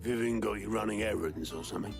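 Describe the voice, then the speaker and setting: An actor playing a plain-spoken, teasing working-class comrade in a game, with a British accent and a gravelly, husky, colloquial delivery. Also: male voice, fairly low pitch, fast, music in the background. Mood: wry, friendly. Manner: colloquial, wry. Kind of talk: naturalistic game cutscene acting.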